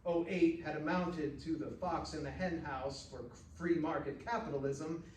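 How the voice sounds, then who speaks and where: A man's voice: on the high side, steady tone, moderate pace, a little mellow. An American man, a professional actor, narrating short fiction aloud at a live stage reading. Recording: roomy, distant stage recording, faint noise.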